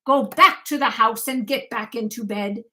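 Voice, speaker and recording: A woman's voice: fairly high pitch, normal speed. An older woman voicing a cross, bossy male tulip conductor who barks commands in a children's storybook. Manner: shouting, commanding.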